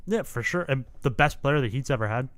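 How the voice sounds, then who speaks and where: Young dude talking sports, rambly and laughy, smooth clear voice, dry sound. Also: male voice, mid-range voice, expressive, a little fast, no room sound. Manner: rambling, laughs often, swears casually. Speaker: podcast co-host. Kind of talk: casual sports chat.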